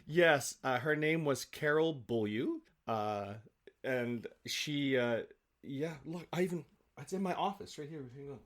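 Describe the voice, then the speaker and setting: A man, probably middle-aged, telling anecdotes casually in an animated, self-deprecating, halting way as an interview guest. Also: a little high. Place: home office, on a remote call.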